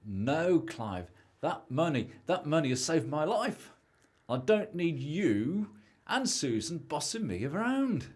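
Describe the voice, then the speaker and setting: A man's voice: fairly high pitch, big pitch swings, takes it slowly, slightly rough, heavily acted. Guy acting selfish british husband in a radio play, dismissive, voice jumps about a lot. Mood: defensive. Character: selfish, excitable married debtor who believes he's rich.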